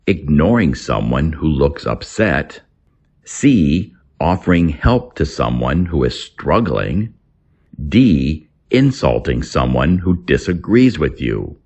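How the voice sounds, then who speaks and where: A man narrating a scripted English lesson as a teacher, deliberately reading out phrases, definitions and quiz items with repetition. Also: a bass voice, gravelly. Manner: deliberate, repeats key phrases.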